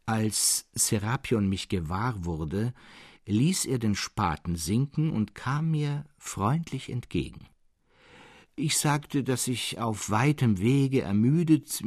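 A male narrator reads literary fiction with a standard German accent. His pitch moves in an animated way and his voice has a husky grain. He phrases each clause with care, in a very dry, close studio sound. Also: takes it slowly, no echo. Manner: expressive, carefully phrased storytelling. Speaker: audiobook narrator. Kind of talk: literary story reading for radio.

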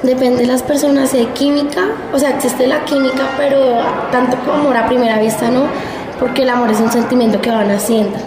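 A woman's voice: sits high, fairly even intonation, fairly quick. A woman giving casual opinions as a vox pop interviewee. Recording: echoey space, loud crowd. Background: loud crowd.

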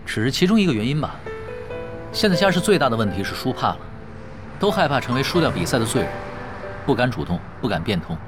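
A man playing a seasoned, easygoing esports captain in an audio drama, dry and unhurried as he explains things. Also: medium pitch, sing-song, music in the background, close to an everyday voice. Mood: sober, dry. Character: laid-back, wry, seasoned male esports team leader.

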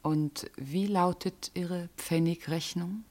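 An actress playing a cool, defiant murder suspect in a German radio crime drama, curt and challenging, with a husky voice. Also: female voice, fairly low pitch, fairly even intonation, takes it slowly, darkish tone. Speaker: actor.

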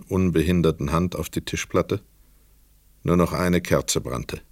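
Guy narrating a radio play, low voice, slow scripted storytelling, very dry recording. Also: dry recording.

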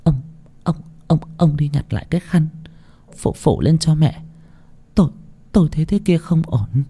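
A frightened mother, stammering and shaky, pitched a little above the storyteller's own voice, as a male narrator puts her on. The voice stays soft and husky, with a dark tone. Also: very animated, a little fast, full character voice. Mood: trembling. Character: trembling middle-aged mother, fearful.

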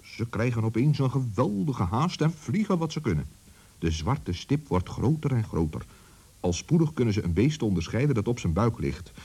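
A man's voice: mid-range voice, very animated, normal speed, warm tone, husky. A man narrating a scripted children's story as the storyteller.

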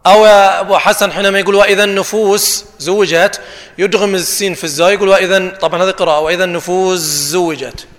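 A man's voice: sits high, steady tone, quick pace, fairly bright tone. A man moderating a panel discussion. He talks animatedly and draws out the verses he recites. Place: discussion forum in a hall. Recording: reverberant hall sound.

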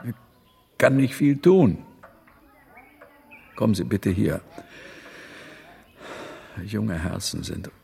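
An actor in a radio play playing a sorrowful, helpless old man who speaks haltingly and wearily, repeating himself. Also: on the low side, darkish tone, heavily acted. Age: older. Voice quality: creaky.

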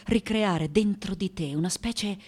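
A woman's voice: mid-range voice, unhurried, darkish tone, natural voice. An actress playing a passionate, eccentric woman artist in a theatrical, emphatic radio monologue. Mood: dark, subdued. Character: passionate, eccentric woman sculptor reminiscing about New York.

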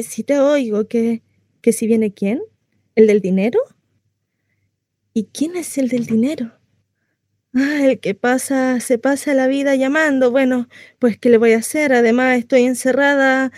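A woman performs a naive, wryly comic housewife confiding in a theatrical monologue. Her voice sits a little high and on the mellow side. She rambles dramatically and interrupts herself, recorded close and dry. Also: fairly even intonation, a little slow, no echo. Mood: sarcastic, irritated.

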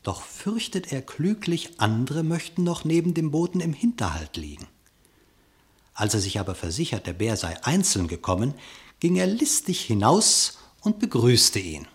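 A man's voice: medium pitch, sing-song, normal speed. A man narrating a verse animal fable in a radio play, with declamatory, theatrical storytelling.

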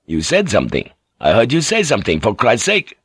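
A man's voice: medium pitch, wildly swooping pitch, fairly quick, a bit bright, slightly rough, dry recording, clean studio sound, full character voice. A man voicing a frantic, foul-mouthed sailor in an audiobook, ranting and blustering as he cracks into madness. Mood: suspicious, irritated. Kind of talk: dramatic audiobook character voice.

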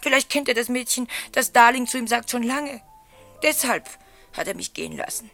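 An actress playing a wounded, emotional wife in a German radio play, dramatic, dropping into hushed tones. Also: female voice, fairly high pitch, moderate pace, faint music behind. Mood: bitter, agitated.

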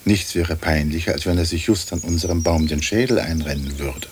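An actor playing a pompous, wry old aristocratic ghost in a radio play, speaking in stately, formal phrases. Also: male voice, a bass voice, brisk. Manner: stately, long-winded, formal diction. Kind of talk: German radio play acting. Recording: old radio recording with faint noise.

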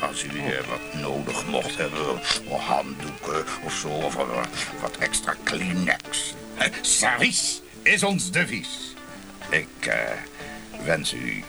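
A slimy eager motel manager in a radio comedy, hesitant fawning sales talk, very lively pitch, music under it. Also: male voice, takes it slowly, creaky, full character voice. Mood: discreet, suggestive. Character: obsequious, slick male motel manager. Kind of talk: comic radio play acting.

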